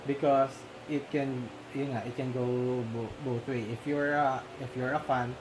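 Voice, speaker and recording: Filipino male co-host, mid-pitched, halting casual chat, noisy recording. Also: little pitch movement. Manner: halting, many restarts and fillers, laughs often. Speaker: movie discussion co-host. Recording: noisy background.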